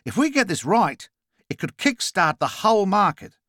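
Guy doing a bossy aussie business lady for an audiobook, higher pitched, abrupt, fed up and impatient. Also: big pitch swings, moderate pace, fairly bright tone, no echo, studio quality. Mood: insistent. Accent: Australian. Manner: abrupt, pushy, exasperated. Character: brash, impatient Australian businesswoman on the phone. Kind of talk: audiobook character dialogue.

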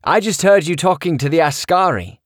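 A man's voice: on the high side, expressive, brisk, no echo, clean studio sound, pitched above the performer's own voice, close to an everyday voice. A man voicing an easygoing young male friend, enthusiastic and breezy, in an audiobook memoir. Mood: excited.

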